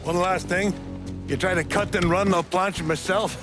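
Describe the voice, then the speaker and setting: An actor playing a cocky, foul-mouthed, vengeful Irish gangster in a video game cutscene, with rough colloquial delivery and a darkly jovial streak. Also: male voice, sits high, fairly even intonation, normal speed, close to an everyday voice. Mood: menacing. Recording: loud music under the dialogue.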